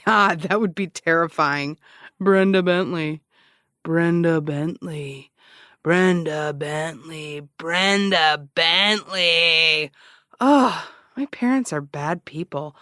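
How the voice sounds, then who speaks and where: An actress playing a cocky, sarcastic amateur investigator and broadcaster who teases and rambles defensively in an audio comedy-drama. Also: female voice, low voice, a bit bright, no room sound, clean studio sound. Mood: nervous rambling. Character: cocky, needling amateur investigator and radio broadcaster, adult woman. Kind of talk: naturalistic audio fiction comedy-drama acting.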